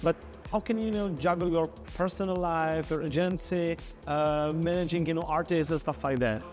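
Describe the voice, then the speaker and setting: Male interviewer with a fairly high voice, effusive thanks and halting questions, faint music behind. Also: a little mellow. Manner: friendly, repeats thanks, halting questions with you know. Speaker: festival interviewer. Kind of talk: festival interview.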